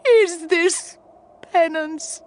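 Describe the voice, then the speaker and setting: A fervent old nun on the point of death in an audio drama. Her voice is very high and drags extremely slowly, anguished and penitent. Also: darkish tone, heavily acted. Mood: dying, anguished. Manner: slow, anguished delivery.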